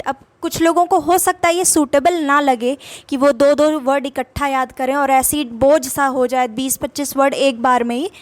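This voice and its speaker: Young Indian woman, high voice, Indian accent, patient English tutor who keeps rephrasing. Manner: explains patiently, repeats meanings in Hindi and English. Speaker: English vocabulary teacher. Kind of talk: casual spoken-English lesson.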